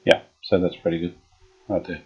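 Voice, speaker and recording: A man's voice: deep voice, moderate pace. A man restoring an old radio, thinking aloud as he talks through each step. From Australia. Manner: relaxed, thinking aloud with frequent fillers. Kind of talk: hands-on workshop narration. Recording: dull lo-fi workshop audio.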